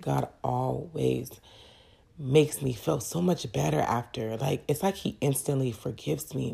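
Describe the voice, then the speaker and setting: A woman's voice: extremely low pitch, gravelly, dark tone, slightly rough. A woman hosting a faith podcast in an earnest, personal, encouraging way, her voice crackly and congested from a cold. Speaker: podcast host.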